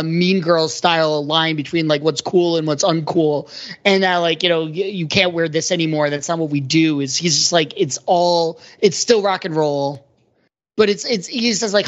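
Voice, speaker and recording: A man talking casually and analytically as a panelist, halting and often rephrasing, on slightly dull audio. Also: a little high. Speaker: podcast panelist.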